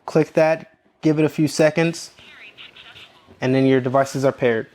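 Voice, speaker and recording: Mid-pitched man demonstrating a product. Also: steady tone, a little slow, a little mellow. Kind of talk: step-by-step instructional narration.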